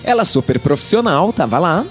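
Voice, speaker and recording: Lively, jokey man. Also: on the high side, a little fast, soft background music. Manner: animated, jokey storyteller. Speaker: podcast co-host. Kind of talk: casual chat.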